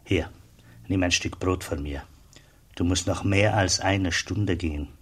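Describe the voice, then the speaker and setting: A man's voice: low-pitched, unhurried, slightly rough. A man playing a friendly, plain-spoken border customs officer in a radio drama. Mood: kindly, helpful. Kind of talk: German radio drama acting.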